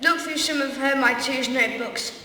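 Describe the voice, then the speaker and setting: A woman's voice: sits high, normal speed, sharp tone. A young woman playing a casual, lazy schoolboy wizard hero in a comic amateur radio play. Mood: nonchalant. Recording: very echoey hall from far off, faint noise.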